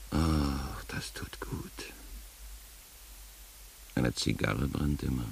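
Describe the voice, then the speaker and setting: Very deep, gravelly, world-weary man. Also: slight background noise, exaggerated voice, low-quality recording. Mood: savouring, musing. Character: world-weary, sardonic male philosopher musing on life and death. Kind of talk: poetic radio play monologue.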